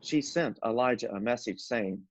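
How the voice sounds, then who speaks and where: A man's voice: mid-range voice, moderate pace, dry recording. A man narrating a scripture story as a scripted reading.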